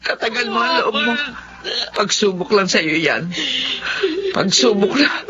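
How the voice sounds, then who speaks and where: An actress playing a consoling middle-aged mother in a Filipino radio drama, emphatic and measured. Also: medium pitch, animated, muffled recording, crowd noise, natural voice. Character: consoling, indignant mother of a grown daughter.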